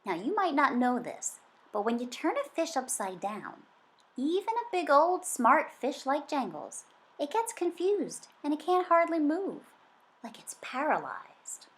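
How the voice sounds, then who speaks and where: A woman reading a children's story aloud in an expressive, dramatic way. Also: sits high, measured pace. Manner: dramatic, varying from hushed suspense to emphatic excitement. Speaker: children's book reader.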